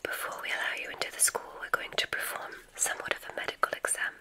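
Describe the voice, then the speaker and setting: A woman playing a gracious, whimsical witch who runs a magic school, in a genteel, breathy ASMR whisper. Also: close to an everyday voice. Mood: welcoming, theatrical. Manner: genteel, unhurried, welcoming. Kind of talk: ASMR medical roleplay.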